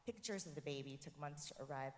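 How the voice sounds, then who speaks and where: A woman's voice: sits low, fast. A woman whose first language is Korean, a professor and forum guest, speaking in an earnest, reflective way and often checking in with "right?". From United States. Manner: earnest, tags points with "right?". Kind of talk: reflective interview conversation. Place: church forum hall. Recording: echoey hall.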